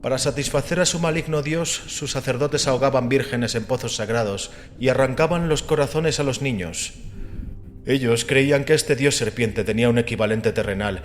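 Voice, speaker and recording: A man voicing a calm, assured and scornful critic of religion, measured and didactic, in a dramatized audiobook. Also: medium pitch, slight background noise, natural voice. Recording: long reverberant hall with music behind. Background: music.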